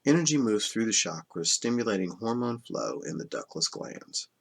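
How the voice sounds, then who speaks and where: A man reading a self-help book aloud as scripted narration, in a measured, instructional manner that stresses key terms. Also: fairly low pitch, expressive. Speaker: audiobook reader.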